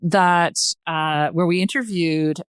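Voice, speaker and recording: An older woman chatting as a podcast guest, telling a story in a halting, thoughtful way. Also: lowish voice, steady tone, clear, resonant tone, no room sound, clean studio sound. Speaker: podcast guest, retired journalist and producer. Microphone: close podcast microphone.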